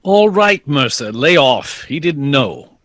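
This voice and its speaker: A man's voice: mid-range voice, sing-song, slow pace, a bit bright, no room sound. An actor playing an exasperated, commanding bandleader father in an audio drama, speaking with emphatic, formal authority.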